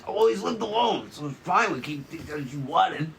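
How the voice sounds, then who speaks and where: A man's voice: fairly high pitch, lively intonation, a little fast, creaky, noisy background. A man playing a timid ex-security guard, speaking in plain colloquial terms in a cartoon script table read. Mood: guarded, confiding. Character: timid, husky male ex-security guard, cooperative informant. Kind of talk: animated series table read.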